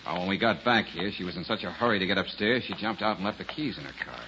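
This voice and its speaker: Sardonic private eye, very low voice, animated delivery, vintage radio crime drama. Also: male voice, quick pace, background noise. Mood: cool, needling. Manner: wry, sardonic, unflappable. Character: cool, wisecracking male private detective. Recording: muffled old radio recording.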